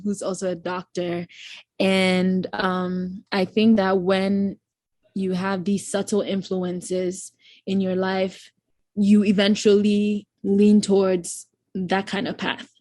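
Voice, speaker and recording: A woman in her twenties with a Ghanaian accent, talking as a guest in a relaxed, reflective way with frequent ums. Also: mid-range voice, no room sound. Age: 20s. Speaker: guest, incoming medical student. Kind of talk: casual interview chat.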